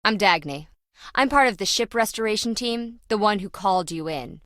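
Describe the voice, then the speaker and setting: A woman's voice: medium pitch, expressive, normal speed, fairly bright tone, no echo, lightly acted. An actress voices a brash treasure-hunting businesswoman for a video game. She is flippant and self-important, griping in slangy, sarcastic lines. The recording is dry and close, with no room around her. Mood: sardonic, bossy. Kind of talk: video game character dialogue.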